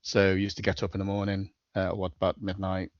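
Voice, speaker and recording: A man's voice: low-pitched, monotone, quick pace, no room sound, dull audio. A middle-aged man with a Liverpool accent, a podcast guest talking in a level, matter-of-fact way with many hesitations. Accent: Liverpool (Scouse). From Liverpool, England. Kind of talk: casual interview chat.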